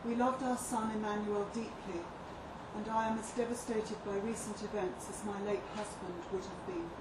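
A woman's voice: medium pitch, fairly even intonation, normal speed, lightly acted. A woman playing a grieving widowed mother making a formal, subdued statement in a live story reading. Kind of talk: dramatic monologue read live. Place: live reading in a pub venue. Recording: distant echoey live room, noisy background.

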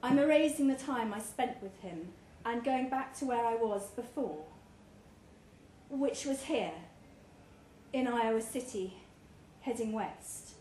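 Woman reader as tipsy runaway English wife, wry, frank, highish voice, story reading, roomy noisy pub. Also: lively intonation, slow, darkish tone. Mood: blunt, wry. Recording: roomy live pub recording, background noise.

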